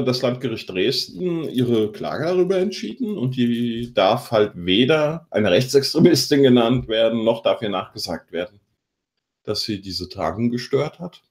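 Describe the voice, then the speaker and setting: A man co-hosting a podcast, commenting on news in a halting way as he reads from his notes. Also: mid-range voice. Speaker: podcast co-host.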